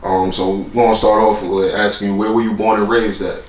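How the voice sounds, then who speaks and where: Low-voiced laid-back male host. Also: flat delivery, brisk, reverberant. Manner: laid-back, calls the guest "man" and "brother". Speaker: podcast host. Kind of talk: casual interview.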